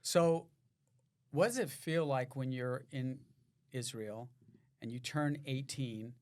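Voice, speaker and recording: Co-host guy on a chill podcast, asks long questions, thinks out loud. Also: medium pitch. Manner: asks drawn-out, searching questions. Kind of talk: casual podcast chat.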